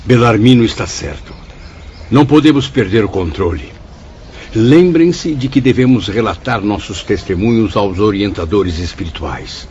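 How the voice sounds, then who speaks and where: An actor with a Brazilian accent playing a dignified spirit who speaks in a solemn, literary radio drama style. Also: male voice, fairly low pitch, lively intonation, moderate pace, darkish tone, slight background noise, close to an everyday voice. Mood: reassuring. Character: dignified male spirit recounting his afterlife service. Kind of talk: dramatised first-person narration. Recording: dull lo-fi track, music bed.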